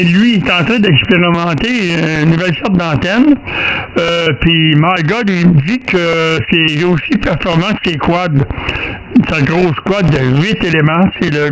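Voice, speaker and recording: A man's voice: a little high, a bit bright. A man with a Québécois French accent chatting casually and folksily over two-way radio as an amateur operator, rambling and repeating words for emphasis. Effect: two-way radio transmission. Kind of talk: casual radio chat about antennas. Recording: noisy two-way radio transmission with clicks.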